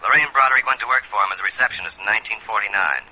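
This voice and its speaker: An actor playing a brisk, cooperative businessman on the telephone in a 1950s radio drama. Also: on the low side, bright, forceful tone, dull audio. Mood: brisk, businesslike.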